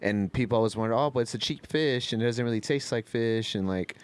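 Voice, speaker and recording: A man's voice: mid-range voice, fast, clean tone, dry recording. A man in casual podcast conversation, rambling and slangy in the way he talks. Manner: rambling, slangy, frequent "you know" and "man". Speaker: podcast co-host.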